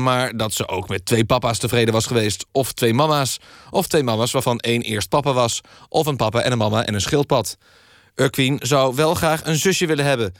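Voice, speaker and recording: A man playing an earnest radio news interviewer, deadpan and somewhat halting, in a satirical magazine show. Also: medium pitch, no echo, clean studio sound, natural voice. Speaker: radio host.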